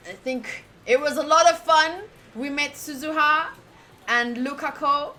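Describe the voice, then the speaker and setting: A woman's voice: high voice, a little slow, pushed and bright. A woman with an American accent, playful and theatrical, reading a visual novel aloud and chatting as she streams. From United States.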